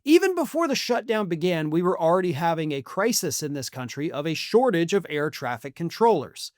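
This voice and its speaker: A man's voice: fairly high pitch, sing-song, brisk, darkish tone, dry recording, studio quality. An American man delivering opinionated news commentary as a host, in an emphatic, sarcastic and colloquial way. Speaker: political commentator and channel host.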